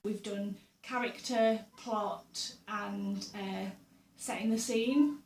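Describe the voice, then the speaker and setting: A young woman chats about her books and her week in a loose, friendly vlog style, full of ums and little laughs. Her voice is a touch hoarse from a sore throat. The sound is roomy and echoey, as if she sits some way back from the mic. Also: mid-range voice, steady tone, takes it slowly, a little mellow, a little hiss or hum. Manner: chatty, with frequent ums and uhs and little laughs. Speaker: book vlogger. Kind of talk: casual chat to camera. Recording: roomy echo, voice a bit far from the mic.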